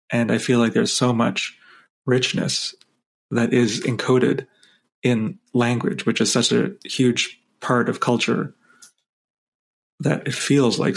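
A man's voice: medium pitch, creaky. A man co-hosting a podcast, reflecting on the Torah portion in loose run-on phrases with frequent "like". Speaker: podcast co-host. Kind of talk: casual discussion of the weekly Torah portion.

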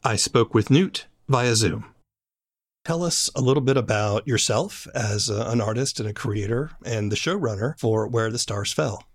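A man's voice: medium pitch, moderate pace, breathy edge. A man hosting a podcast, presenting scripted introductions and interviewing a guest.

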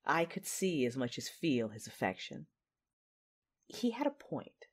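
A woman playing a resolute, battle-hardened female Jedi who tells her story in measured, dramatic first-person narration for an audio drama. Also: deep voice, big pitch swings, breathy edge, heavily acted. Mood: intimate, reflective. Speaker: narrator and lead character.

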